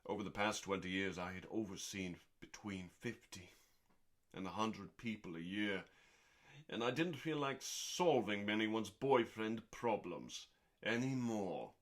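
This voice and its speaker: A man with a fairly low voice reads from a book as the host of a reading show. His delivery is deliberate and theatrical, with pauses and stretched words, meant as calming listening for bedtime.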